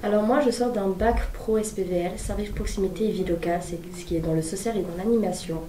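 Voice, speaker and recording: A twenty-one-year-old woman, a civic service volunteer, talks chattily and trips over her words. Her voice is soft and warm. She is recorded from afar in an echoey room with faint noise. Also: mid-range voice, fairly even intonation, quick pace, far from the mic. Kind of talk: personal testimonial.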